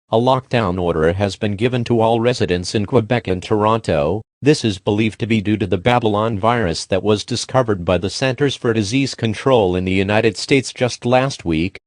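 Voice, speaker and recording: A man reading a scripted emergency broadcast alert in a formal, measured, official manner. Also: lowish voice, no echo, studio quality.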